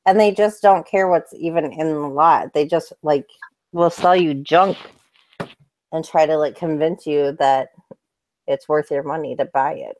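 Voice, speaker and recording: A young woman co-hosting a casual livestream chat, chatty and quick to laugh. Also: sits low, darkish tone. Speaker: co-host.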